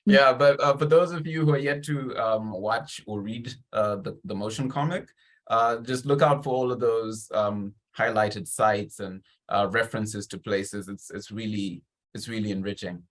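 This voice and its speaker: A man's voice: on the low side, moderate pace. A man conducting a conversational interview, encouraging and appreciative, with long, wordy questions.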